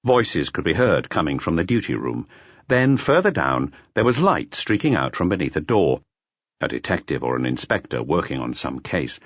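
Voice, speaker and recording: A man reads an audiobook as a scripted, dramatic narration. His voice is husky and lowish with very lively intonation, recorded dry and slightly dull. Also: normal speed, no room sound.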